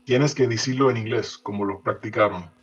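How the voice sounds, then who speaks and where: Man playing a fast, low-voiced interpreter. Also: husky, dull audio, faint music behind. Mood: neutral, formal. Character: male interpreter relaying English and Spanish, dutiful, neutral. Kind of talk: audio fiction reading.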